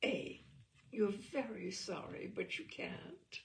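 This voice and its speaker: A woman reading a book aloud as a storyteller, theatrical and expressive, with a wry, arch delivery. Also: medium pitch, slow, gravelly, slight background noise, low-quality recording.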